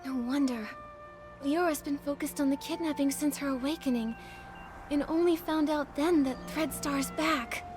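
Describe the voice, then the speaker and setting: Woman acting young girl heroine, high voice, earnest, gentle, kind, curious, video game, music behind. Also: moderate pace, natural voice. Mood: sympathetic. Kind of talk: video game story dialogue. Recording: background music under the voice.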